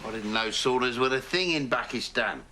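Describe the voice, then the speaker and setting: A man's voice: mid-range voice, brisk, heavy background noise. A man with a Birmingham accent, playing a friendly, chatty taxi firm owner in a sitcom. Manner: friendly, chatty, laughs easily. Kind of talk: sitcom acting.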